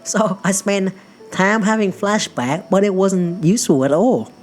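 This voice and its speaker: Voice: medium pitch, animated, measured pace, faint music behind. A narrator from Perth, a native Vietnamese speaker who works in healthcare, telling a funny personal story in a playful, self-mocking way. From Perth, Australia. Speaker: healthcare worker.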